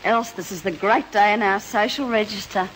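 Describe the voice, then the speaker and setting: A woman's voice: medium pitch, steady tone, moderate pace, fairly bright tone, a little hiss or hum, close to an everyday voice, low-quality recording. A woman acting a droll, mock-genteel old-fashioned lady in a radio comedy double act. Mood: droll, pleased.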